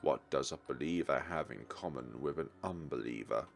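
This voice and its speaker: A man voicing an ominous hooded figure from a visual novel, a solemn and cryptic prophet speaking slowly and portentously. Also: extremely low pitch, creaky, soft background music, voice pushed down.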